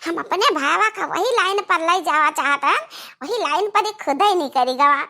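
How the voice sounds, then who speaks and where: Picture a quarrelsome young village sister-in-law from a cartoon comedy. Her Awadhi-accented voice sits extremely high and rings sharp and piercing. She gossips and scolds with theatrical flair. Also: normal speed, heavily acted. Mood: gleeful, scheming. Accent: Awadhi, eastern Uttar Pradesh.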